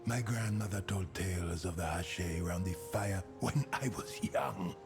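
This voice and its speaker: Gravelly, proud troll chief. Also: male voice, deep voice, moderate pace. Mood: proud, formal. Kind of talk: fantasy game voice acting. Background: game music.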